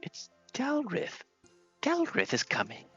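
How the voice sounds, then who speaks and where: A man's voice: very high voice, huge pitch swings, takes it slowly, a bit bright, slightly rough, dull audio, faint music behind, voice pushed up, exaggerated voice. A man voicing a dramatic, fearful old female fortune teller from a fantasy game, theatrical and foreboding. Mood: alarmed, foreboding. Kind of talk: fantasy game character voice in a read-aloud playthrough.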